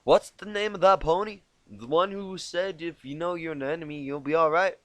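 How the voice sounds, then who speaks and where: A man voicing a calm, confident female farm pony with a folksy, easygoing manner in a cartoon fan story. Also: fairly high pitch, moderate pace, no room sound, pitched above the performer's own voice, natural voice. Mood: calm confidence.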